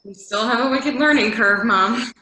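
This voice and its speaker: Woman doing tired social worker daughter, guarded but cares, crisp bright sound, echoey room, stage reading. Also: on the high side, moderate pace. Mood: guarded, affectionate. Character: world-weary caseworker daughter, guarded but caring, middle-aged. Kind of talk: readers theater scene. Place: indoor room on a video call. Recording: echoey room on video call.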